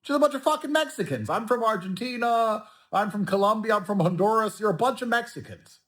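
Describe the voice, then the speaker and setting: Brash ranting man, high lively voice. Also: a little fast. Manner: crude, aggressive, brash. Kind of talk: podcast rant.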